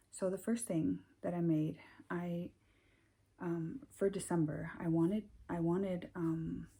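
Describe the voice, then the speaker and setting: Icelandic woman, American English accent, slow and unhurried, mellow husky tone, hesitant casual host. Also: on the low side. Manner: hesitant, many ums and restarts, soft-spoken. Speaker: knitting podcast host. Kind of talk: casual chat to camera.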